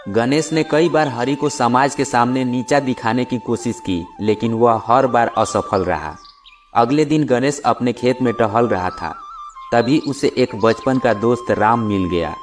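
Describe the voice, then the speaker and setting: A man with a mid-pitched voice tells a children's story. His delivery is scripted narration for a moral tale. Light music plays behind him. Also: a little slow. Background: background music.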